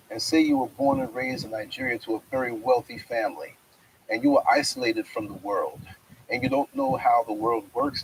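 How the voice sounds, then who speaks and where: Man with gravelly, swinging pitch. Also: a little hiss or hum, poor audio. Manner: wide swings in pitch, reflective and preacherly. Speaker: host. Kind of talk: spiritual monologue to camera. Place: outdoors in a fenced yard.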